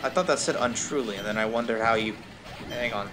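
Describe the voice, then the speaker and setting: A man's voice: medium pitch, a little fast, a bit bright, faint music behind. A man reading fan fiction aloud on a stream with wry, casual asides, often interrupting himself, some noise in the background. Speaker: streamer, host.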